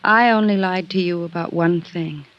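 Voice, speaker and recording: An actress playing an earnest, guarded young woman in an old radio adventure drama, speaking in a soft, intimate manner. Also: on the low side, moderate pace, dull audio, lightly acted. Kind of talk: 1950s radio drama acting.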